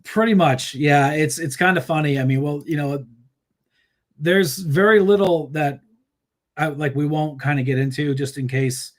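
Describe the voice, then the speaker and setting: A man's voice: fairly high pitch, normal speed. A man guest on an interview show, chatting casually about his band in a rambling way, with many fillers and restarts, laughing easily. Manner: laughs easily, animated storytelling. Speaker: musician guest.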